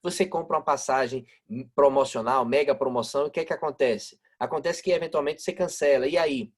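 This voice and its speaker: Husky-voiced man lecturing, northeastern Brazilian accent. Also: medium pitch, fairly quick. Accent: northeastern Brazilian Portuguese, Aracaju. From Aracaju, northeastern Brazil. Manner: didactic, rhetorical questions and frequent check-in tags. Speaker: law professor, lecturer. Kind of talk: live online lecture explaining law with example cases. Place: online video-call lecture.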